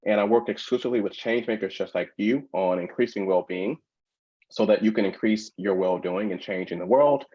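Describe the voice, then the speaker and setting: Low-voiced male webinar host, muffled. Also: a little fast, slightly rough. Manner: warm, encouraging, lots of fillers. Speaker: host and workshop presenter. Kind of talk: welcoming conference webinar talk. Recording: dull lo-fi video call audio.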